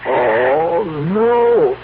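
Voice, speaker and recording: An actor playing a desperate, excitable husband in a radio drama, with halting speech that urgently repeats words. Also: male voice, fairly high pitch, heavily acted. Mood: horrified. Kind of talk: 1970s radio drama acting. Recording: tinny, noisy vintage 1970s radio broadcast.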